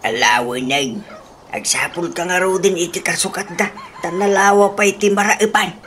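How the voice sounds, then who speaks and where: A woman performs an old dad who grumbles and frets over his grown child in a radio comedy drama. The voice is fairly low and chiding. There is slight noise in the recording. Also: moderate pace, a bit bright, natural voice. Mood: grumbling. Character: grumbling, concerned elderly father of a farm boss.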